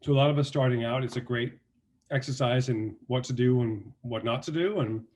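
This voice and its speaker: A man from Denmark with a Danish accent, a guest actor telling stories in a relaxed interview, often restarting and filling his sentences. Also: mid-range voice, brisk. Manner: relaxed storytelling with many restarts and fillers. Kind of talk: casual interview talk.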